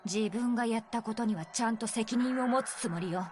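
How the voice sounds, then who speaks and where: Woman playing a fast-talking, blunt big sister. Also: a little high, darkish tone, close to an everyday voice. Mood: defiant. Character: tough, impatient young big-sister character, blunt and defiant. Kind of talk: Japanese visual novel voice acting. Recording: game music behind the voice.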